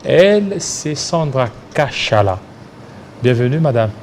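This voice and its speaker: A male host with a Congolese French accent conducts a political interview. His questioning is probing and challenging, at a middling pitch. There is light noise and room ambience behind his voice. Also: very animated, slow, darkish tone.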